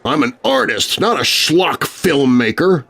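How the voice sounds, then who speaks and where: A man's voice: medium pitch, animated, unhurried, bright, forceful tone, heavily acted. A man voicing a bitter, self-important film director in a storybook tale, grumbling and growling with exasperation. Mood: indignant roaring.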